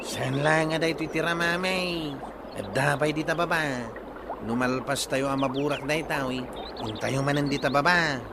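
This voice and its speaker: A man's voice: a little high, moderate pace, noisy background, natural voice. A man playing an easygoing village fishing companion in a comedy radio drama, with an Ilocano accent. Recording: loud water-like outdoor ambience behind voice.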